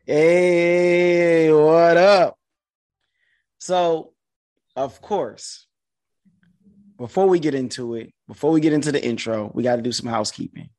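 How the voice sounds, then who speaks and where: A man in his thirties hosting a podcast in casual chat, rattling off quick, hyped housekeeping. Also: a little high, steady tone, a little mellow. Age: 30s. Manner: hype, quick housekeeping patter. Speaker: podcast host.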